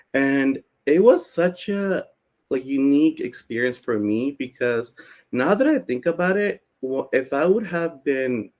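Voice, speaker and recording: A man's voice: mid-range voice, darkish tone. A man from Peru whose first language is Spanish speaks in a middle-range voice. He presents informally, telling personal stories in a loose, conversational manner with frequent casual filler words. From Cusco, Peru. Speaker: speaker, community founder presenting.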